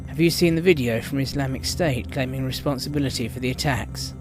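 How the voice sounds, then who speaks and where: A man's voice: medium pitch, fairly quick, music in the background, natural voice. A man acting a blunt, irritated intelligence chief in a radio drama. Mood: urgent.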